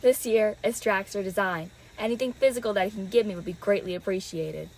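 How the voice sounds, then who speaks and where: A woman playing a smug, self-assured investor, a scheming man with measured delivery, in an old-time detective radio drama. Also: medium pitch, a little mellow, slight background noise, natural voice, low-quality recording.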